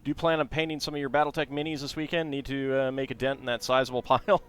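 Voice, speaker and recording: A man's voice: medium pitch, little pitch movement, fast talker, smooth, faint music behind. A man casually chatting to his viewers while building a Lego set, reading facts aloud and talking to chat.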